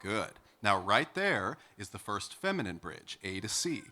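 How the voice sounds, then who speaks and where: A man with a baritone speaking voice, teaching a singing lesson and counting students in with encouragement. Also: big pitch swings, unhurried, sharp tone. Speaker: vocal coach. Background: piano.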